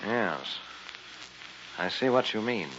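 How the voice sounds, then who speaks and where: A man's voice: on the low side, lively intonation, slow pace, fairly bright tone. An actor playing a genteel, doubtful young man with old-fashioned phrasing in a vintage radio drama on a noisy, muffled recording. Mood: disgruntled.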